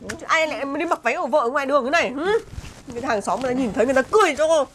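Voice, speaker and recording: A woman's voice: high-pitched, lively intonation, racing, slight background noise. A woman playing an exasperated wife scolding her drunk husband in a comedy skit, nagging with repeated rhetorical questions.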